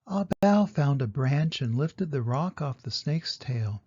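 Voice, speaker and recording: A man's voice: medium pitch, lively intonation, measured pace, darkish tone, slightly rough, lo-fi sound. An older man reading a children's storybook aloud as a scripted narration.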